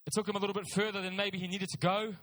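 A man's voice: high voice, little pitch movement, very fast, fairly bright tone. A middle-aged man with a New Zealand accent preaching a sermon, telling personal stories with emphatic repeated phrases and informal asides. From Auckland, New Zealand. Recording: reverberant hall.

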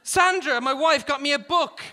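A man's voice: high-pitched, big pitch swings, extremely slow, pushed and bright, slightly rough, pitched above the performer's own voice, full character voice. A man playing a weary, earnest police negotiator in a comic short story, pleading and calling out across a distance.

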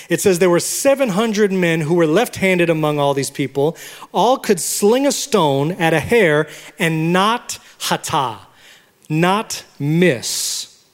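A man of Puerto Rican origin preaching a sermon as pastor, teaching words and having the congregation repeat them. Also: high voice. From Puerto Rico. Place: church sanctuary. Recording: long reverb of a large hall.

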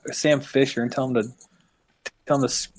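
A man's voice: mid-range voice, level tone, a little fast. A man co-hosting a casual podcast, talking in a laid-back way with lots of "dude" and "man". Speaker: podcast co-host. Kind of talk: casual chat and anecdote.

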